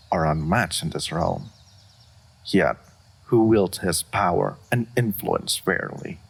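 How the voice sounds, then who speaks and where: A man's voice: low-pitched, creaky, slightly rough, heavily acted. A man playing a regal, aloof dragon in human form, speaking formally and with stoic restraint in a fantasy audio roleplay. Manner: formal, measured, stoic speech with deliberate pauses.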